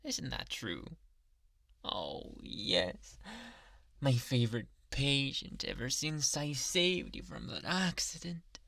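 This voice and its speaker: A man acts out a young yandere doctor in an intimate ASMR roleplay, doting and sweetly menacing. His delivery is slow and creaky, drifting between breathy murmurs and whispers. It is recorded close and very dry, like a captor speaking right into the ear. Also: medium pitch, big pitch swings, sharp tone, no room sound, full character voice. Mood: adoring, obsessive. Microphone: close microphone.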